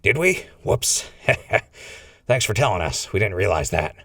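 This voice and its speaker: An actor playing a glib, wry male fugitive with a gravelly voice in a post-apocalyptic audio drama. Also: on the low side, very animated, slow, a bit bright, dry recording, studio quality, distant mic, exaggerated voice. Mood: nervous bluffing. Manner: wry, bluffing. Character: Crispy, glib scheming male wasteland fugitive.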